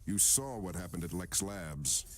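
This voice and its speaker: An actor voicing an arrogant, haughty tycoon villain in a superhero video game, sardonic and menacingly formal. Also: male voice, expressive, measured pace. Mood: smug. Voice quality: very deep, creaky. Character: arrogant, smooth villainous male business tycoon.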